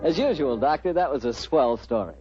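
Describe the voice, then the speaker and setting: A man's voice: medium pitch, lively intonation, a little fast, dark tone, soft background music. An American man announcing and hosting in 1940s radio style, with a polished, salesmanlike delivery. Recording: muffled 1940s radio broadcast recording.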